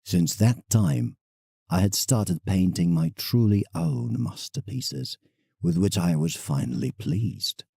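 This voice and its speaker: A man playing an old painter in an audio drama, reflective and enraptured, reading his letter theatrically with musing pauses. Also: low voice, animated, creaky, warm tone, breathy edge, dry recording, clean studio sound, full character voice. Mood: fond, reminiscing.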